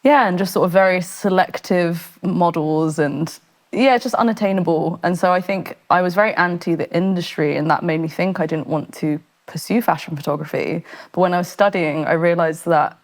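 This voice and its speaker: A woman from Britain with a low voice talks as a guest on a podcast. She rambles in a chatty, self-deprecating way, full of fillers. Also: normal speed. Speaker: guest, fashion photographer. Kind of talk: casual podcast conversation.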